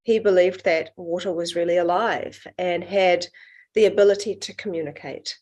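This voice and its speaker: A middle-aged woman with a New Zealand accent, a guest on an interview podcast, explaining her work in an earnest, measured, reflective way. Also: on the low side, fairly even intonation, a little mellow. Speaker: guest, water researcher.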